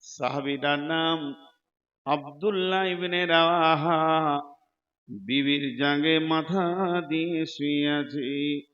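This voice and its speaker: A man's voice: on the high side, fairly even intonation. A man preaching an Islamic sermon, telling religious stories emphatically, drawing out words and slipping into a half-sung chant. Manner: slow, emphatic, half-sung delivery with drawn-out words and repeated phrases. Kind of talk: Islamic sermon with chanted Quran recitation and dramatic storytelling. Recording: dull, lo-fi sermon recording.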